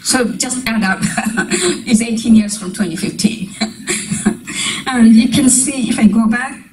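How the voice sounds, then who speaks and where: A woman's voice: a little high, a little slow, distant mic, a little hiss or hum, poor audio. A middle-aged woman from Bangladesh giving a storytelling talk with poems, holding a microphone in a community meeting room. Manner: storytelling, wondering tone, breaks into light laughs. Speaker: guest speaker. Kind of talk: lecture with poetry reading. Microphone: handheld microphone.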